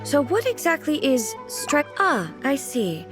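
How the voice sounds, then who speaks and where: A woman playing a reserved, ageless female ruler in an anime video game, speaking in a formal, measured, thoughtful way. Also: high-pitched, big pitch swings, fairly bright tone, heavily acted. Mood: hesitant, awkward. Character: dignified, reserved female ruler, ageless, formal, naive about ordinary life. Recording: music playing behind.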